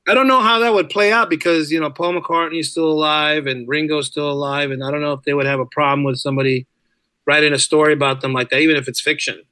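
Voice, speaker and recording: A man with a bright, forceful and fairly high voice talks as a guest on a podcast. His style is casual and conversational, and he repeats words to drive a point home. The recording is dry and close. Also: steady tone, fast, clear, resonant tone, no echo. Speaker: podcast guest, writer and audio drama creator. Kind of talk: casual interview chat.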